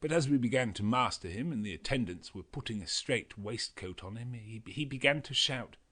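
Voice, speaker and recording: A man narrating a classic novel as an audiobook, in a measured and expressive reading. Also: medium pitch. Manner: measured, expressive reading with a lively, shifting delivery.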